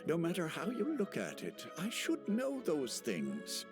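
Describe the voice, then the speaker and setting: An actor playing a humble, gentle elderly village chief in a video game, speaking in a halting, wistful manner. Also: male voice, a little high, wildly swooping pitch, music in the background. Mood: ashamed. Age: older. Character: kindly, humble elderly male village chief with failing eyesight. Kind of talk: video game character acting.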